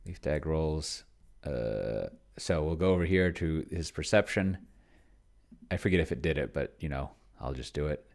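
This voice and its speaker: A man casually thinking aloud as he plays a solo tabletop game, halting and muttering to himself as he types. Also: a bass voice, lively intonation, a little mellow. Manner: self-described pedantic. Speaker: solo tabletop player and video host.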